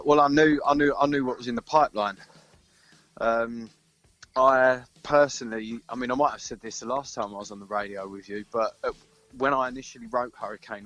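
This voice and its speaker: A man's voice: medium pitch, steady tone, brisk, a bit bright, dull audio. Hesitant British man in casual interview. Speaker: band singer-songwriter being interviewed. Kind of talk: casual chat on a radio interview.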